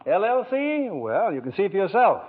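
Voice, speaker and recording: A man's voice: high-pitched, sing-song, normal speed, phone-quality sound. A man hosting a 1940s radio drama broadcast, delivering polished, genial scripted banter and announcements. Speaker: radio show host.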